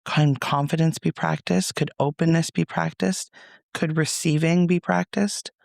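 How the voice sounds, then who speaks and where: A man leading a guided meditation as a reflective monologue, speaking in a soothing, measured, gentle way with pauses. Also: a little high, gravelly, dry recording, studio quality.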